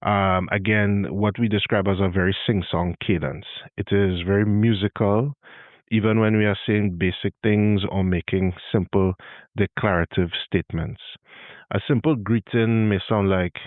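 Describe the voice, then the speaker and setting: A man's voice: fairly low pitch, steady tone, moderate pace, no echo, narrow, tinny audio. A man from Trinidad and Tobago with a Trinidadian accent, hosting his own podcast in a solo talk with wry, self-deprecating asides. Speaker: podcast host.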